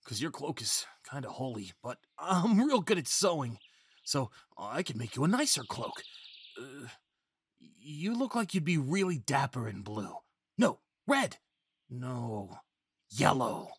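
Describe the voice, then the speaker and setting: A man's voice: on the high side, breathy edge, no echo, exaggerated voice. A young man acting a timid, eager, naive male faun who stammers and pleads sweetly in an ASMR fantasy roleplay. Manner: stammering, hesitant, childlike eagerness.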